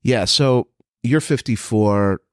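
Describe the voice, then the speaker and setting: A man's voice: medium pitch, slow pace, clean tone, no room sound, studio quality. A man in his fifties hosting a casual interview, joking and self-mocking, laughing often. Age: 50s. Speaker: podcast host.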